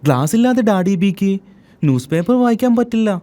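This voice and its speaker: A woman's voice: mid-range voice, very animated, brisk, mellow. A woman narrating a children's cartoon story in a storytelling manner suited to small children.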